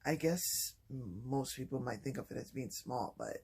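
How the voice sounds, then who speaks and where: A man talking softly and haltingly in a personal vlog, with many pauses and restarts. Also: animated, vocal fry, slightly rough. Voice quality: low voice. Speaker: vlogger. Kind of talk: personal vlog, reflective talk.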